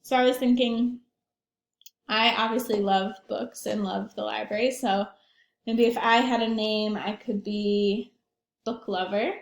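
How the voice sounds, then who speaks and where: A woman's voice: mid-range voice, steady tone, unhurried, creaky. A woman talking to young children during a read-aloud, putting questions to her listeners. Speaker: read-aloud teacher or librarian. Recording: echoey room.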